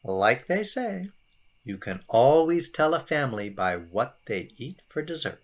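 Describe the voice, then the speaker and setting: A man's voice: mid-range voice, big pitch swings, unhurried. A man playing an apologetic, easygoing middle-aged dad in a radio play, recorded at home with dull sound.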